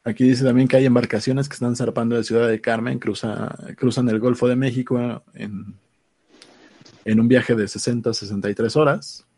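A man, calmly reading and explaining news items in a casual discussion. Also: mid-range voice, moderate pace, husky, dry recording. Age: 30s.

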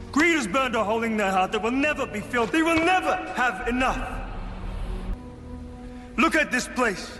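Proud elf prince, cavernous reverb. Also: male voice, high voice, exaggerated voice. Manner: solemn, declamatory, oratorical. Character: proud, bitter exiled elf prince, grave and vengeful. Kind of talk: theatrical fantasy film acting. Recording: cavernous hall reverb under loud film score.

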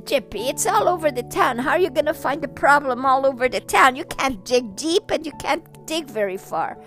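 A woman's voice: sits high, expressive, moderate pace, a bit bright, soft background music. A woman voicing a young boy friend who questions a plan. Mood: skeptical.

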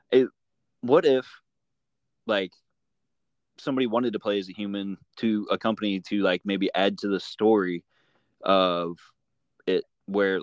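A man with a fairly low voice hosts a casual interview in a very dry acoustic. He talks informally and haltingly, thinking aloud as he goes. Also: no room sound. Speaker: interviewer and host.

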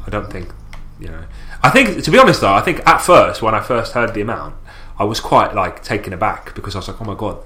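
Bright-voiced young man, hesitant. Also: lowish voice, sing-song, fast, hardly any pauses. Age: young adult. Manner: hesitant, thinking aloud, frequent restarts. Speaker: podcast co-host. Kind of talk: casual chat.

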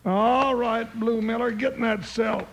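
A man's voice: steady tone, measured pace, full character voice. A man playing a big, jovial, folksy American lawman sidekick in an old-time radio western, drawling in cowboy slang. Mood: gleeful, tough. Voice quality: creaky, high-pitched voice. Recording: noisy, clicking old radio recording.

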